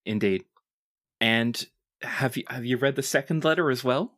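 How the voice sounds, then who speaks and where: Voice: medium pitch, normal speed, fairly bright tone. Improvising game master narrating a tabletop adventure.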